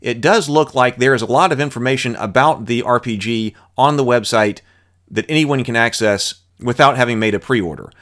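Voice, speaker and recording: Male host, mid pitch, relaxed screen-share rules walkthrough, reads aloud, thinks out loud. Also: moderate pace. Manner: thinking aloud, with small restarts while reading. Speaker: channel host reviewing a game. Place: home room with bookshelf.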